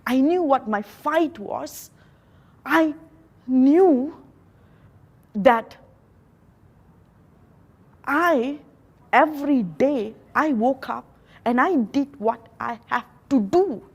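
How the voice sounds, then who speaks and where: Slow, earnest high-voiced Malaysian woman. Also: slightly rough. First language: a Malaysian local language. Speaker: motivational speaker. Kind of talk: motivational talk.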